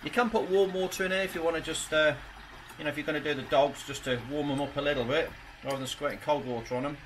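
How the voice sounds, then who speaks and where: A man's voice: fairly high pitch, fairly even intonation, fast, fairly bright tone. A man in his forties, talking himself through a hands-on product review in a home garage, on a camera mic a few feet away. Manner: chatty, talks himself through each step. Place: home garage workshop, indoors. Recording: noisy garage recording.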